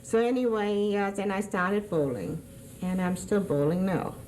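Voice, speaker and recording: A woman in her sixties with a German accent, born in Germany, recalling her past in an oral history interview, often pausing and searching for words. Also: medium pitch, darkish tone, a little hiss or hum, rough recording.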